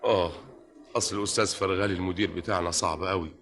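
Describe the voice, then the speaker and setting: This is a fussy Egyptian government clerk from an old radio comedy. His voice is fairly low yet bright and forceful. He is over-polite and nosy, repeating himself with comic precision. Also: fairly even intonation, very fast. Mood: anxious complaining. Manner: effusive, repetitive, comically precise.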